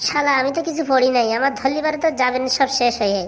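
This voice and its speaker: Very fast, mouthy young pupil, rural Bengali accent acted. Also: high voice, a bit bright, slight background noise. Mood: excusing. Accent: rural Bengali dialect. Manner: insolent, bickering. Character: mouthy young pupil, brazen excuse-maker. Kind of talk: comic cartoon dubbing.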